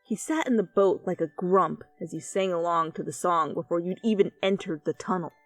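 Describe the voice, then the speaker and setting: A woman giving an expressive, theatrical dramatic reading of fan fiction as narrator. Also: fairly low pitch, fairly quick, dry recording. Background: background music.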